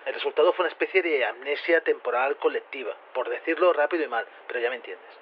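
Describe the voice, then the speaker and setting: A man's voice: mid-range voice, fast, natural voice. An actor with an accent from Spain playing a laid-back male scientist who explains things casually and hesitantly in an audio drama interview. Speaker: interviewed researcher. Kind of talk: casual spoken interview, acted. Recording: tinny, noisy phone-like radio link.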